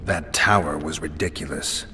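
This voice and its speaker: An actor playing a laconic, dry-witted male monster hunter in a fantasy game, terse and deadpan. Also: extremely low pitch, normal speed, soft background music, exaggerated voice. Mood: weary, cynical. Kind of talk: dramatic fantasy game acting.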